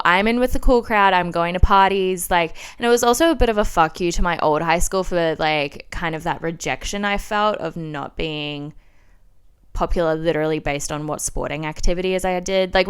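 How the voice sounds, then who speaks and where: Young adult female, Australian accent, crisp clear voice, casual confessional podcast storytelling, sweary and informal. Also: lowish voice, fairly bright tone. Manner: chatty, informal, sweary, self-deprecating. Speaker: podcast host. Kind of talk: casual solo chat, personal storytelling.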